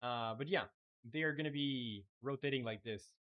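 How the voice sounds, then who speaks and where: A man's voice: medium pitch, normal speed, highs cut off. A young man from Chile whose first language is Spanish, talking casually and thinking aloud while building his game on a live stream. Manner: relaxed, thinking aloud with many fillers.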